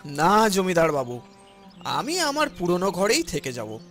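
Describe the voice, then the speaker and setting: An elderly village father, acted by a man in a moral cartoon. His voice is high and swings widely as he pleads, honest and gentle yet reproachful. Music plays underneath. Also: wildly swooping pitch, a little slow, full character voice. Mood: humble, reassuring. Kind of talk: cartoon voice acting.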